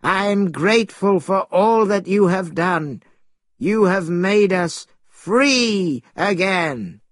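An enormous old-tale turtle, voiced by a male storyteller, solemn and grateful. He speaks very slowly in a raised, husky voice, earnest and pleading. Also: sits high, animated, no room sound, studio quality, pitched above the performer's own voice, full character voice.

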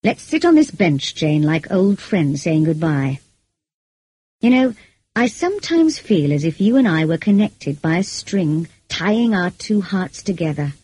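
A woman voicing a commanding gentleman master in an audiobook romance, teasing and intense, with a measured, insistent manner. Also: on the low side, very animated, breathy edge, no echo, full character voice, rough recording. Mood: tender.

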